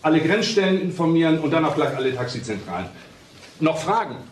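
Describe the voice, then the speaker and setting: An actor playing an authoritative, clipped police detective leading a manhunt in a crime radio drama. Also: male voice, a little high, big pitch swings, fast. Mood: commanding, urgent. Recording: echoey room with clear noise, clicks.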